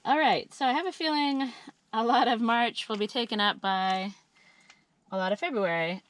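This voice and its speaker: Slightly hoarse, bright-voiced woman vlogger. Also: on the high side, moderate pace. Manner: chatty, upbeat, with frequent fillers. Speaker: homesteading vlogger. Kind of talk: casual monthly update vlog.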